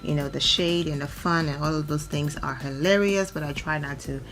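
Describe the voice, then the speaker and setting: A hoarse woman, nearly losing her voice, chatting through celebrity gossip as a vlogger in a rambling, filler-heavy way. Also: low voice, steady tone, moderate pace, a bit bright, faint music behind. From Atlanta, Georgia. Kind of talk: casual gossip commentary.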